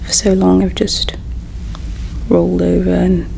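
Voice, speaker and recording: A woman playing a gentle, caring alien in an ASMR roleplay, speaking in a slow, hushed, tender and wistful way with a breathy quality. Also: on the low side, monotone, a little hiss or hum. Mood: wistful, reflective. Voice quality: breathy whisper with creaky edges. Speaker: ASMR performer. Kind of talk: ASMR roleplay, whispered and soft-spoken.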